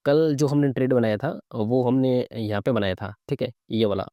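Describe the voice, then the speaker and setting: A man's voice: medium pitch, normal speed, warm tone, no room sound, studio quality. A man with a slightly sore throat hosting a live trading lesson, explaining casually and often checking in with short tag questions. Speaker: trading instructor and live-trade host. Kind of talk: casual live commentary teaching chart reading.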